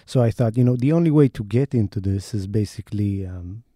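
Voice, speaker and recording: A man's voice: fairly low pitch, brisk, warm tone, no room sound, clean studio sound. A man with an Israeli accent, an investigative journalist telling the story of an undercover investigation in an interview, often restarting and repeating words as he talks.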